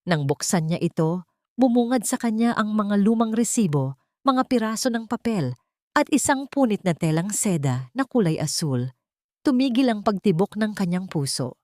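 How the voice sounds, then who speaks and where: A woman's voice: lowish voice, a little mellow, dry recording, studio quality. A woman reading a scripted dramatic story as an audiobook narrator.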